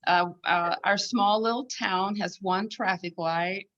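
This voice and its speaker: A woman's voice: medium pitch, fairly even intonation, takes it slowly, fairly bright tone, dull audio. A woman in her fifties with a Swedish accent, chatting casually as a podcast guest. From Sweden. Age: 50s. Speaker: podcast guest, needlepoint designer. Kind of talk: casual chat.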